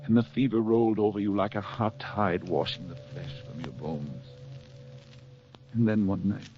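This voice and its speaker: A man's voice: on the low side, moderate pace, warm tone, close to an everyday voice. A man acting a hunted, weakened fugitive in earnest 1940s radio drama style. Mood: weary, haunted. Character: hunted, fevered, weakened man, tender and fearful for his lover. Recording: muffled vintage 1940s radio recording with music.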